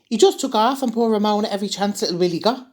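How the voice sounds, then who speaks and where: A woman's voice: medium pitch, fast talker, darkish tone, close to an everyday voice. An actress playing a camp, gushing, gossipy male houseguest in a comedy radio drama. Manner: camp, effusive.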